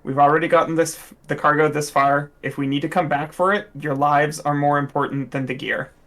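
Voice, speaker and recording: A man voicing a calm female crew member speaking over comms, steady and protective, in a tabletop game. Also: on the high side, a little fast. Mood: reassuring.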